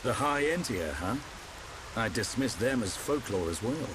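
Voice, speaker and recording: An actor playing a seasoned, resolute warrior in a video game, measured and formal with dry humour. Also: male voice, fairly low pitch. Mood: grave, considered. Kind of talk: video game cutscene voice acting. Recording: steady rain-like noise behind dialogue.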